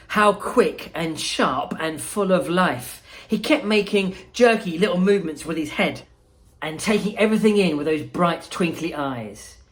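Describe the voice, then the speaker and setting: A woman, a school principal reading a storybook aloud expressively, with pauses and small asides. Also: fairly low pitch. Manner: unhurried, expressive, pausing.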